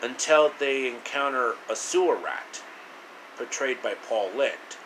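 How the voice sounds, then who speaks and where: A man casually retelling a story in a halting, informal way. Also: medium pitch. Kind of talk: casual retelling of a fairy-tale parody. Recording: steady air-conditioning noise.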